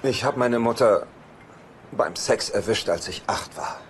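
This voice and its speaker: An actor dubbing a neurotic, self-pitying, sarcastic bachelor in a German sitcom, with dramatic exasperation. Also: male voice, on the low side, a little slow, background noise. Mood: distraught, exasperated.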